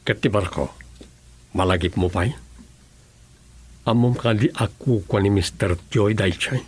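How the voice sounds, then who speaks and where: An actor playing a deliberate, serious man asking probing questions in a radio serial drama. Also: on the low side, breathy edge.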